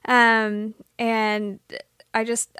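A woman with a fairly high voice hosts a podcast interview, talking warmly and with emotion close to the surface. The sound is dry and close, with no room echo. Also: completely flat delivery, extremely slow, smooth. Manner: heartfelt, emotional, a little teary.

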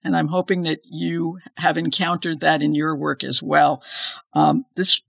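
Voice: medium pitch, level tone, measured pace, gravelly, a bit bright, breathy edge, dry recording. A podcast host asking curious, conversational interview questions.

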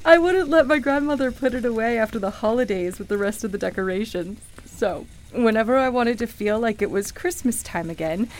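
A woman playing a nostalgic, wonderstruck shop customer in a radio play, with a hesitant, halting delivery. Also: on the high side, a little mellow, a little hiss or hum, natural voice.

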